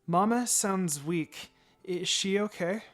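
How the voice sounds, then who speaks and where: A man's voice: high-pitched, takes it slowly, fairly bright tone, voice pushed up. A man voicing a brave, anxious little girl in a dramatic story reading, pleading in broken phrases over music. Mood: worried. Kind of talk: dramatic fan fiction reading. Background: background music.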